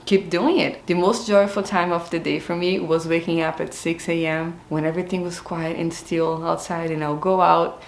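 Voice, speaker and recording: A woman's voice: fairly low pitch, steady tone, normal speed, few pauses, slight background noise. A woman in her thirties from Brazil, with a Brazilian accent, telling her own story on her gardening and cooking vlog in an earnest, open and reflective way. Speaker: gardening and cooking channel host. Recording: roomy echo with faint music.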